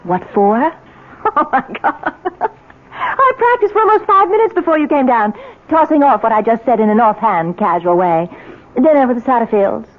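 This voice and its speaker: Arch American society wife, old radio. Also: female voice, a little high, sing-song, moderate pace, narrow, tinny audio. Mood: teasing, airy. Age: middle-aged. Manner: arch, wry, theatrical. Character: sophisticated, teasing society wife, socially ambitious. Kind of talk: 1970s radio drama acting. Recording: thin, band-limited old radio broadcast.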